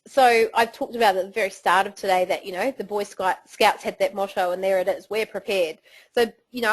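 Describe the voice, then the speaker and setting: A woman's voice: mid-range voice, rough recording. A middle-aged woman with a New Zealand accent presenting a business webinar, chatty and encouraging with frequent fillers.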